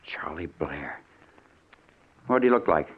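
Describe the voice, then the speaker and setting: A man's voice: a bass voice, lively intonation, a little slow, husky, exaggerated voice. An actor playing a jumpy, irritable, blustering man in an old-time radio mystery. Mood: indignant, angry. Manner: blustering, hesitant when alarmed, snappish. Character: wealthy, jumpy, irritable man hounded by vengeance. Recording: muffled, tinny old radio recording.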